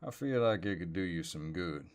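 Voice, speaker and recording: A man playing a flirtatious, easygoing cowboy neighbor with a deep voice and a folksy American Southern drawl, in an intimate roleplay audio. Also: animated. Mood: amused, bashful. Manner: folksy drawl, playful and unhurried.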